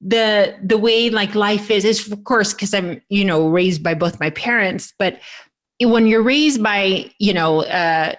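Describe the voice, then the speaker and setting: A woman's voice: mid-range voice, unhurried. Rambling American woman in her forties. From United States. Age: 40s. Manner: rambling, restarts sentences often. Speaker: podcast host. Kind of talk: casual podcast conversation.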